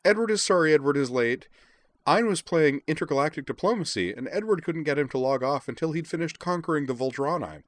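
Eccentric teen-style hacker girl, played by male reader: high, bouncy, blunt, grandiose storybook character. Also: expressive, a little fast, no room sound, lightly acted. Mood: cheery, excited. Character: eccentric exuberant young hacker girl, speaks in third person.

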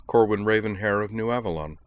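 An unruffled female android student, as a man reads her, pitched low and recorded dry. She answers precisely and politely in an audiobook, impassive and matter-of-fact. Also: fairly quick, no room sound, close to an everyday voice. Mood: calm, flat. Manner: calm, even, matter-of-fact.

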